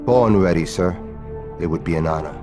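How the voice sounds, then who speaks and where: An actor playing a loyal, wry veteran pilot in a video game, plain-spoken, recorded dry over music. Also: male voice, low voice, moderate pace, lightly acted. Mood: eager, assured. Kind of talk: video game cutscene acting.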